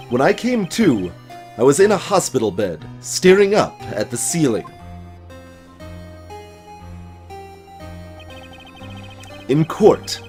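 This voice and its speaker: A man voicing a haunted, solemn game character confessing a dark memory, grave, halting and dramatic. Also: mid-range voice, natural voice. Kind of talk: dramatic voiced reading of game dialogue.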